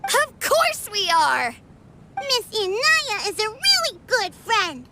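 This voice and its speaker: An actress voicing a tiny, excitable, child-like companion in a video game. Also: female voice, very high voice, slow, full character voice. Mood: indignant, loyal.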